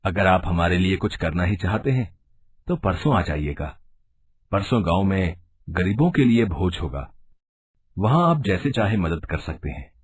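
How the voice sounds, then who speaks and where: A man playing an authoritative, principled villager in an animated storybook tale, with a husky voice. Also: sits low, measured pace, heavily acted. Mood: calm, principled. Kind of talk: animated storybook character acting.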